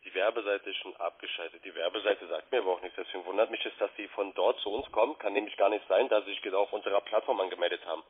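Casting: man, raspy mid voice, phone-quality sound, polite and keen call centre sales patter full of questions. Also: low-pitched, rapid-fire, hardly any pauses. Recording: muffled, band-limited phone line.

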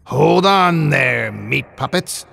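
A man voicing a small, maniacal evil puppet showman in a storybook narration, announcing in a drawn-out, gleeful way. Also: mid-range voice, animated, vocal fry, sharp tone, slight background noise, pitched above the performer's own voice, full character voice. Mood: gleeful, menacing.

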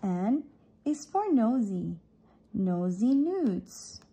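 A woman reading an alphabet picture book aloud for children, deliberately setting apart each letter and phrase. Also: a little high, sing-song, mellow, husky.

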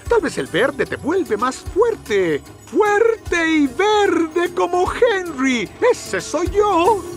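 A high-pitched, vain steam engine. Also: male voice, big pitch swings, very slow, soft background music, heavily acted. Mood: boastful. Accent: Latin American. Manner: boastful, melodramatic, whimpering when scared. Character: vain, excitable male steam engine, easily panicked. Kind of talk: animated children's series dialogue in Latin American Spanish.

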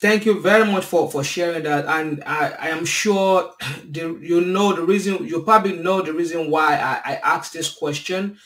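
A man's voice: fairly high pitch, moderate pace, a bit bright. A man, hosting an interview podcast in an earnest way over a video call from home. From Nigeria. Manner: earnest, gestures while talking. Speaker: podcast host. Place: home room, indoors. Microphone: video call webcam. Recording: echoey room, distant from the mic.

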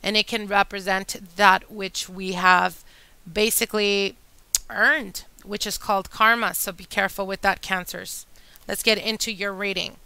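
Bright-voiced, slightly hoarse tarot reader woman. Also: mid-range voice, little pitch movement, measured pace, dry recording, poor audio. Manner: chatty, warm address to viewers, whispers during shuffling. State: slightly hoarse from a long day. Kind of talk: casual tarot reading monologue. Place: indoor table with candles and decor.

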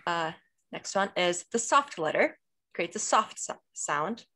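A woman's voice: lowish voice, lively intonation, slow pace, bright, forceful tone. A young woman teaching the Russian alphabet on a video call, friendly and laughing easily. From Kyrgyzstan (family). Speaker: student language presenter. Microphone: video call laptop mic.

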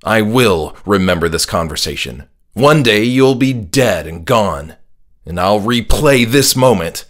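A man performs an embittered son in a horror audiobook, facing down his father. The delivery is slow, biting and accusatory, full of anger. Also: on the low side, expressive. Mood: cold anger. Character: bitter, angry grown son confronting his father.

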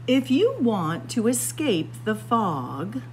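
A woman reads a picture book aloud for young children at a very unhurried pace. Her delivery is sing-song and animated, stretching phrases and leaving dramatic pauses. There is faint noise behind her voice. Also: a little high. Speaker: library story time host. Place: indoors among library bookshelves.

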